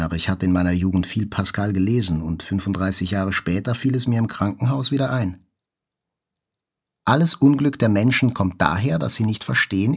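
A man narrating a literary first-person story for a radio drama in a measured, reflective manner. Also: lowish voice.

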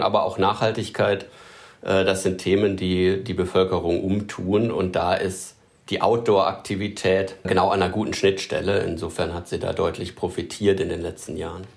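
A man answering as an industry consultant, halting and restarting his phrases with fillers. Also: deep voice, gravelly. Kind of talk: interview answers.

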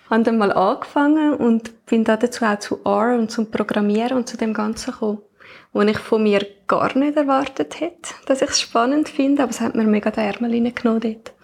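A young woman speaks casually, as in an interview, with a Swiss German accent. Her voice sits a little high for a woman, with a husky grain and frequent vocal fry. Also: darkish tone. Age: young adult. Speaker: new podcast team member.

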